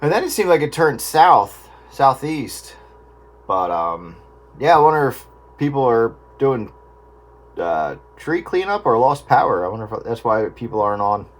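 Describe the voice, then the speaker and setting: A man's voice: mid-range voice, very animated, measured pace. Casual man chatting. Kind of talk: casual chat over two-way radio.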